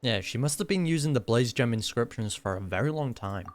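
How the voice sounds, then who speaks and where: A man's voice: mid-range voice, expressive, a little fast, a little hiss or hum. A young man giving casual, emphatic, slangy commentary as he reacts to a video game. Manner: slangy, emphatic, uses dude and bro.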